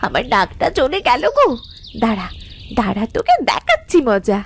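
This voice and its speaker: A woman's voice: on the high side, huge pitch swings, normal speed, heavily acted. A woman voicing a feisty invisible young wife in a cartoon, with a scolding, taunting, theatrical manner. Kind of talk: animated cartoon voice acting.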